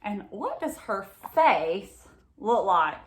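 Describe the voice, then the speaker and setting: A woman with a medium-pitched voice reads a children's picture book aloud. She is expressive and often turns to her young listeners with simple questions and explanations. The recording is roomy, with audible echo around her voice. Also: slow. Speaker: read-aloud storyteller for children.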